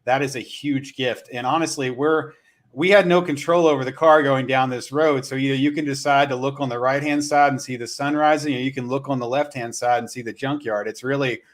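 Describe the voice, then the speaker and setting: A man's voice: medium pitch, fairly even intonation, brisk. A man in his forties hosting a casual motivational conversation, speaking earnestly and often repeating phrases for emphasis. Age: 40s. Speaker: podcast host.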